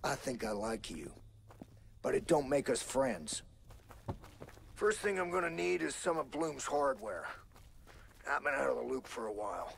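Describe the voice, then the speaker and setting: An actor playing a cocky, cranky middle-aged American hacker in a video game, with a folksy, sardonic drawl. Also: male voice, mid-range voice, big pitch swings, normal speed, creaky, long pauses, a bit bright, slight background noise. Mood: wry. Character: cranky, cocky older male hacker turned junkyard sculptor.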